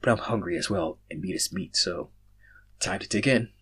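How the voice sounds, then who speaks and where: A man's voice: deep voice, normal speed, fairly bright tone, husky. A man reading a fan fiction story aloud in an amateur way, sometimes stumbling over words and correcting himself.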